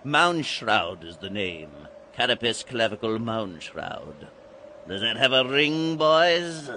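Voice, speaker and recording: An actor playing a theatrical, sinister old guide to Halloween in an audio drama, grandiose and teasing with dramatic pauses. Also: male voice, medium pitch, sing-song, bright, forceful tone, a little hiss or hum, exaggerated voice. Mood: sly glee. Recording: music behind the voice.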